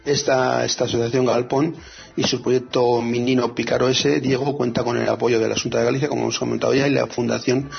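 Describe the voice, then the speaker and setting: A man co-hosting a radio show about free software, talking in a hesitant, casual way with many fillers and restarts. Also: mid-range voice, little pitch movement, fairly quick, vocal fry, muffled recording.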